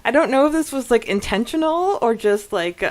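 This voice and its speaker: A woman's voice: a little high, expressive, normal speed, darkish tone. A woman co-hosting a podcast, talking casually and agreeably as she discusses fan topics and reads listener comments. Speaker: podcast co-host.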